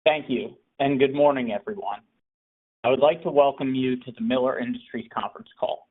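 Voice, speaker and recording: A man's voice: mid-range voice, brisk, husky. A man working as an investor relations moderator, formally reading a scripted introduction and disclaimer. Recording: narrow conference phone line.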